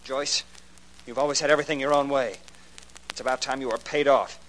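An actor playing a jilted, bitter young office clerk in a 1940s radio drama. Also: male voice, medium pitch, fairly even intonation, brisk, fairly bright tone, close to an everyday voice, rough recording. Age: young adult.

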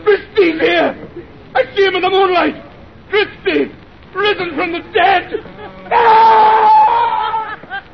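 A man's voice: lively intonation, fairly quick, close to an everyday voice. An actor playing a nervous, guilty bridegroom who bluffs through his fright, in an old-time radio suspense drama. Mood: screaming terror. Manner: bluffing bravado that gives way to fright. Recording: muffled, noisy vintage radio recording with rumble.

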